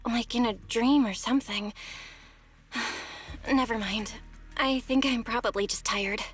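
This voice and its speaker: An actress playing a perky, earnest young heroine in an anime-style video game. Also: female voice, a little high, measured pace, breathy edge. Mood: puzzled, tired. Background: music.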